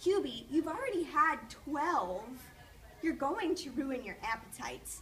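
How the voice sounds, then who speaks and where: A woman's voice: high-pitched, expressive, a little slow, a bit bright. A woman voicing a caring, patient mother in a children's storybook read aloud, recorded at a distance from the mic. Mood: concerned.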